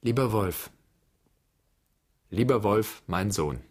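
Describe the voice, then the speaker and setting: Brooding, haunted son for literary radio drama; lowish, husky, introspective delivery. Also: male voice, lively intonation, takes it slowly, darkish tone. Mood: tender, imagined letter.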